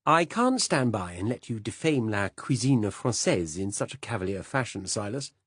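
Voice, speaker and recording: A man's voice: on the low side, sing-song, moderate pace, fairly bright tone, breathy edge, no echo, clean studio sound, pitched above the performer's own voice, exaggerated voice. A man reading aloud voices a smug, affected male dinner guest who teases his host. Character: smug affected man, fond of French food.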